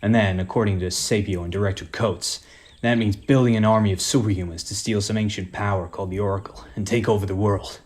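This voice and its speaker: A man's voice: lowish voice, fairly even intonation, fast talker, a little mellow, natural voice. An actor playing a wounded, sarcastic superhero dad, a man, in a radio play. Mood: strained, wry.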